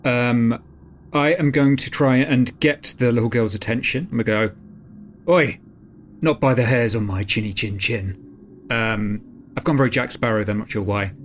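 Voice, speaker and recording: A man takes part in a tabletop roleplaying game as a player, casually describing his moves. His pitch is average, and there is faint noise on the recording. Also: normal speed. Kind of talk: casual in-character roleplay chat.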